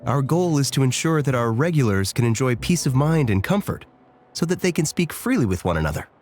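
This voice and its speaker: A man voicing a courteous, smooth-talking male banquet attendant in a video game cutscene, polite and measured. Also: medium pitch, very animated, a little mellow. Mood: polite suave.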